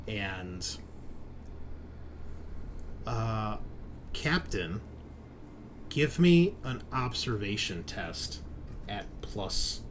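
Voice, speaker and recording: A man hosting as game master, improvising narration and chat in a deliberate, suspenseful storyteller's way. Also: fairly low pitch, big pitch swings, background noise.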